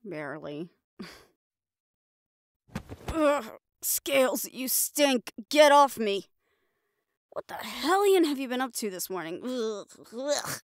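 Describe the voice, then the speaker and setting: A woman's voice: a little high, big pitch swings, slow, pauses often, no room sound, clean studio sound. A woman performing an audio roleplay, talking in casual, profane, wry banter.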